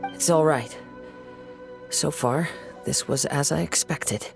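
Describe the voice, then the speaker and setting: An actor playing a calm, gentle young wandering swordsman in an anime video game, composed and formal in his speech. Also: a little high. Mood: calm, thoughtful. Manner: composed, formal, measured wording. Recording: clear music behind the voice.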